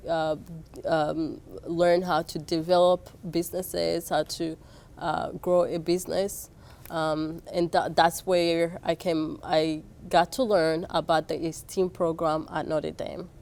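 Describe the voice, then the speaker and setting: A woman's voice: on the low side, darkish tone. A young adult woman from Rwanda, a program chair, answering interview questions with frequent fillers and restarts. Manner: hesitant, many fillers and restarts.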